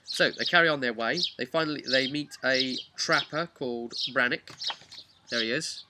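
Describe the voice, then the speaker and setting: A man's voice: medium pitch, moderate pace, heavy background noise. A man speaking informally as a game master, recounting a tabletop campaign with emphatic asides. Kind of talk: vlog storytelling, informal explanation.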